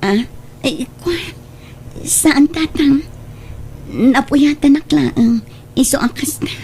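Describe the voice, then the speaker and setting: Actress doing young woman in love, high voice, slow n pleading, heartfelt radio drama. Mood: subdued, evasive. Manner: slow, emotional, pleading delivery. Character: pregnant young woman, tender, betrayed and hurt by her lover.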